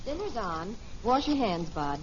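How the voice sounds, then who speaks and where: An actress playing a kindly, practical middle-aged mother who gently scolds in a 1950s radio sitcom. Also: a little high, very animated, unhurried. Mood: brisk, motherly. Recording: muffled, very noisy old radio recording.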